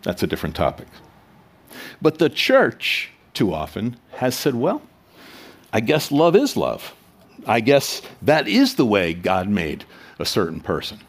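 A man's voice: on the low side, huge pitch swings, unhurried. A male pastor preaching a sermon in a conversational way, with emphatic swells and rhetorical questions to the congregation.

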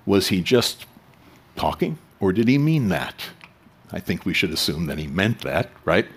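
Man, pastor, sermon, lively pitch swings, conversational preaching, rhetorical questions, church. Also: a little slow, breathy edge.

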